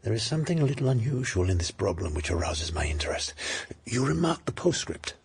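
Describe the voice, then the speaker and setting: An actor playing a proud, fastidious foreign detective with a French accent and precise, formal phrasing in a radio drama. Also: male voice, medium pitch, big pitch swings, creaky, breathy edge, heavily acted. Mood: intrigued.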